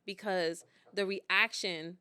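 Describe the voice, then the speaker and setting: A woman's voice: medium pitch, fairly even intonation, takes it slowly, bright, forceful tone, clean tone, no room sound. A woman in her forties, chatting casually on a podcast and laughing often. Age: 40s. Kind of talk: casual chat.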